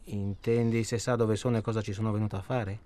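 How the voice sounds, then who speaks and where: Guy acting chatty nervous middle-aged husband, lowish voice, radio play, rambles and repeats himself. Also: level tone, fast talker, mellow, natural voice. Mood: confiding, wry. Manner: hesitant, rambling, repeats himself, seeks agreement. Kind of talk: naturalistic radio drama acting.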